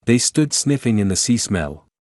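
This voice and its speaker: A man narrates a storybook from a script. His voice sits fairly low. The recording is dry, with no room sound. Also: very animated, normal speed, a little mellow, dry recording.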